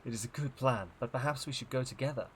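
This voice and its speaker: A resolute female sea captain from a storybook adventure, acted out by a man. She is cautious and caring with her crew. The voice is mid-pitched, over faint noise. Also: quick pace, a bit bright, natural voice. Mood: resolute, concerned.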